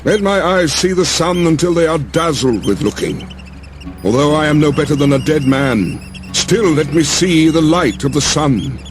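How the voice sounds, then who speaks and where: A man playing a weary, defiant hero-king in a dramatized epic audiobook, declaiming with loud, desperate passion. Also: fairly high pitch, moderate pace, voice pushed up, close to an everyday voice. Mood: anguished, pleading. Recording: music behind the voice.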